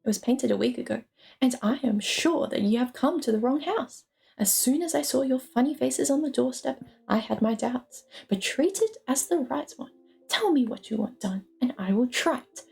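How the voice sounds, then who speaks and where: Woman playing a huffy little gentleman hobbit, creaky. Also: high-pitched, expressive, moderate pace. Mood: indignant, dignified. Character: small, huffy, dignified gentleman hobbit, indignant.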